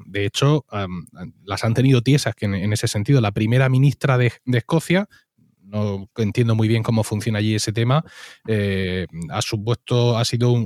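Co-host, male, from Spain, mid-pitched, measured and halting, dry sound. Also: a little mellow, no room sound. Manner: hesitant, measured, with fillers. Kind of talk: casual discussion.

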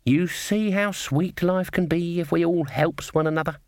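A man's voice: fairly high pitch, normal speed, dry recording, studio quality, pitched above the performer's own voice, natural voice. A man reading a story, voicing a shrewd old witch woman who talks in a sing-song, falsely innocent country dialect. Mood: quietly menacing.

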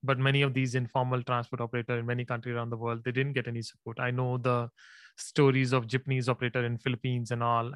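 Friendly host guy, flat voice, dry sound, kinda stumbles and repeats. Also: medium pitch, clean tone, no room sound. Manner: friendly, repeats words, non-native phrasing. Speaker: interview host. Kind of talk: interview conversation.

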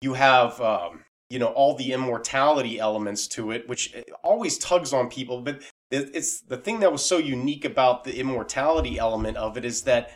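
A male podcast co-host in casual, unscripted conversation. He speaks at a medium pitch with an earnest, enthusiastic manner and tends to ramble as he works toward his point. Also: brisk, hardly any pauses. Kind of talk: casual, unscripted interview talk.

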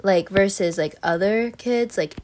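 Teenage girl, interview guest, casual rambling, full of fillers, animated storytelling, mid pitch. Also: measured pace. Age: teens. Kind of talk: casual chat.

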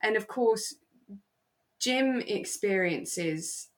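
A British woman co-hosting a relaxed fan podcast, chatting in an effusive, sentimental way. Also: medium pitch, very slow. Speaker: podcast co-host. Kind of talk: relaxed fan chat about a radio drama.

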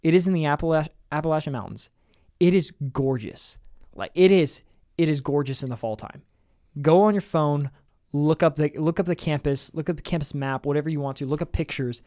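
A young man co-hosts a casual sports chat with a fairly high voice, recorded dry and close. He is enthusiastic and laughs easily, and he often restarts his sentences and repeats words. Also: a little fast, dark tone, dry recording. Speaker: podcast co-host. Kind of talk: casual chat about college football.

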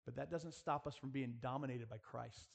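A man's voice: mid-range voice, moderate pace, vocal fry. A man preaching earnestly in a school chapel style, exhorting his listeners and addressing them directly. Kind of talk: sermon with rhetorical questions and prayer.

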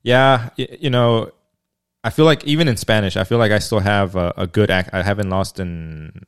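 A man with a low voice and a smooth, resonant tone hosts a laid-back podcast conversation. He is from Mexico but speaks English without an accent, and he talks in an easygoing, halting way, full of fillers and restarts. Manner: relaxed, hesitant, many fillers and restarts. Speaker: podcast host. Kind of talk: casual chat.

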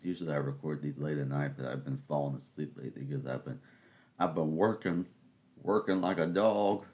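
A man's voice: extremely low pitch, fairly quick, a little mellow. A man hosting his own podcast at home, talking in a rambling, sarcastic way and getting worked up. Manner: keeping voice down early on. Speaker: podcast host. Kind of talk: casual solo musing. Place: home, in the morning.

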